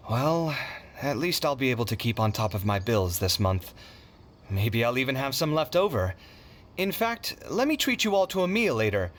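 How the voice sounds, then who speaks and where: A man playing a proud, generous young man in an anime video game, casual and theatrically expressive. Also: mid-range voice, a little hiss or hum. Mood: gracious.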